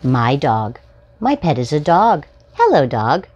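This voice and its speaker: Low-pitched woman reading slowly to young children. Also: big pitch swings, darkish tone, highs cut off. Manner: simple short phrases, deliberately spaced for preschoolers. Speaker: children's storytime host and reader. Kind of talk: children's picture book read-aloud. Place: small furnished room at home, indoors. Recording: home recording with faint background noise.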